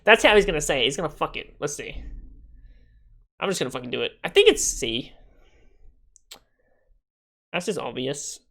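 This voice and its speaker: A man's voice: a little high, sing-song, moderate pace, vocal fry, long pauses, sharp tone. A man giving casual livestream gaming commentary in a slangy, sweary, bantering way. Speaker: livestream gamer.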